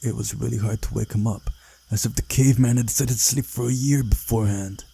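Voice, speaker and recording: A man narrates a visual novel in a very dark, mellow voice with a gravelly creak. He reads in a subdued, unhurried storytelling manner, with a husky grain to the sound. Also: mid-range voice, fairly even intonation. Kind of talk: narrated read-aloud of a visual novel, voicing characters.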